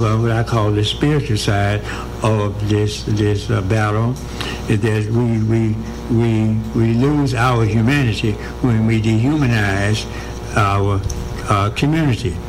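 Halting man with many fillers. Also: fairly low pitch, poor audio. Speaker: guest. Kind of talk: radio interview.

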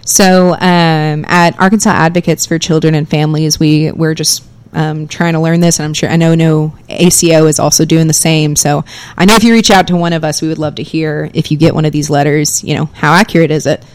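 A woman with a lowish, projecting theater voice talks fast and freely as a guest on a radio talk show. She explains policy in a chatty, easygoing way, full of ums and you-knows. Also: little pitch movement, smooth. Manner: chatty, fluent, explanatory with many fillers.

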